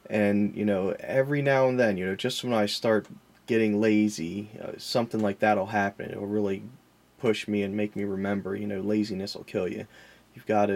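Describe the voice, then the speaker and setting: A man with a lowish, creaky voice that is noticeably rough and husky. He talks casually and without a script, like a vlogger who sells collectibles. His manner is folksy and self-deprecating, and he tends to ramble off topic. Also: a little mellow. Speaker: vlogger and online reseller of vintage collectibles. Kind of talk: casual, rambling unscripted vlog talk.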